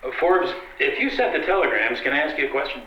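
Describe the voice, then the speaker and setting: A man's voice: a little high, animated, fast talker, natural voice. A man playing a wry, condescending married detective with dry, theatrical delivery in an old-time radio drama. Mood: suspicious. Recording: echoey, dull recording with clear noise.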